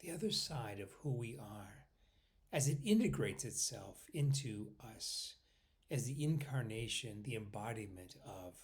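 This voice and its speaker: Slow-paced man guiding a meditation. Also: lowish voice. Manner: unhurried, pausing between short phrases, often trailing off softly. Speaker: meditation guide.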